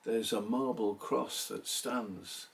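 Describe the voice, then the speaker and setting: A man giving a theatrical, measured recitation of a narrative poem, pausing between phrases. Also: lowish voice, fairly even intonation, vocal fry, distant mic. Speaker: poetry reader.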